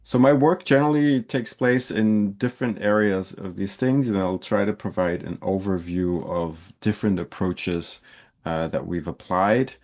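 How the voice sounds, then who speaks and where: A man's voice: sits low, measured pace, darkish tone. A man from Belgium giving a university lecture in a loose, conversational way, with frequent fillers and restarts.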